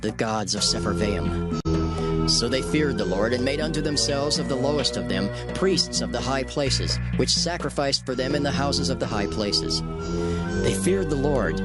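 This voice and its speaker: A man giving solemn, emphatic, measured dramatic narration of scripture. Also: medium pitch. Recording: loud music under the narration.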